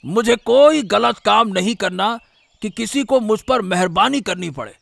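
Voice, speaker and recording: An irritable senior villager played by a man in a cartoon story, always scolding. The voice is high and gravelly, with big swings in pitch. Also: expressive, a little slow, fairly bright tone, no room sound, full character voice. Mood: exasperated.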